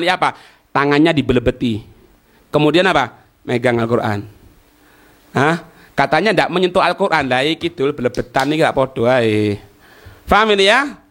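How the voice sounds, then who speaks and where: A man's voice: on the high side, a bit bright. A man with an East Javanese Indonesian accent teaching an Islamic text, explaining it phrase by phrase with frequent rhetorical questions. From East Java, Indonesia. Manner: didactic, asks rhetorical questions and checks understanding. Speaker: Islamic teacher giving a religious study class. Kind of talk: religious lesson reading and explaining an Arabic text line by line.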